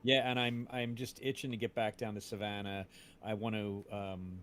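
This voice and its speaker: A man's voice: fairly low pitch, level tone, moderate pace, noisy background. A man hosting a casual podcast chat, enthusiastic and appreciative toward his guest. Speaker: podcast host.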